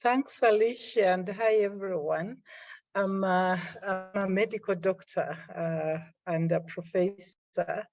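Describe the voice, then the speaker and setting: Ugandan woman panelist, gravelly, hesitant, muffled dry call line. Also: medium pitch, slow, a little mellow, no room sound. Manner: hesitant, many fillers. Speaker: panelist, public health dean. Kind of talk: remote panel introduction. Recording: dull lo-fi call audio, dry.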